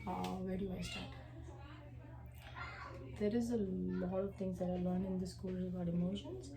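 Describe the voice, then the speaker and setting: A young woman whose first language is Hindi, giving a class presentation as a student, hesitant and filling pauses with ums and uhs. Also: on the low side, creaky, reverberant, crowd noise.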